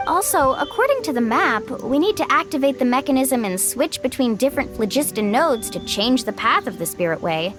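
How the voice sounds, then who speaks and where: Upbeat young adventurer girl, high lively voice, confident and encouraging, video game with music behind. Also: brisk, few pauses. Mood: focused, encouraging.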